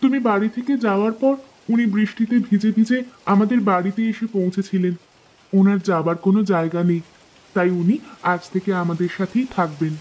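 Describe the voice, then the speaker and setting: A woman's voice: mid-range voice, fairly even intonation, fairly quick, darkish tone, lo-fi sound, natural voice. A woman playing a kind, hospitable village wife in a children's cartoon, speaking in an earnest, respectful way. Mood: concerned.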